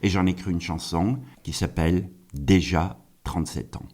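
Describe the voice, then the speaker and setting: A man's voice: low voice, fairly even intonation, normal speed, a little mellow. A middle-aged man from France talking casually and reflectively as a singer-songwriter guest in a radio interview, often in short phrases.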